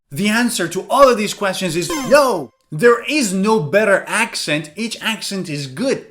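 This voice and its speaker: A man's voice: sits high, a bit bright. A man teaching an English pronunciation lesson, asking questions and answering them himself.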